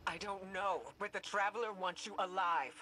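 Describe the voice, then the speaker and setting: An actress playing an earnest, cautious, protective female leader in a video game, speaking in a measured way. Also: mid-range voice, fairly even intonation, bright, forceful tone. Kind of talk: dramatic video game acting.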